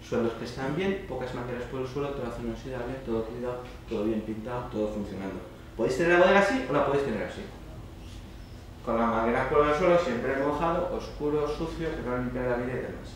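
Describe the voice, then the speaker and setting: A man's voice: medium pitch, quick pace. A man with an accent from Spain giving an informal conference presentation, colloquial and emphatic, often checking in with short tag questions. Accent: Spanish (Spain). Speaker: speaker at a student congress. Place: indoor lecture hall, speaker standing on stage. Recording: roomy, distant mic with faint noise.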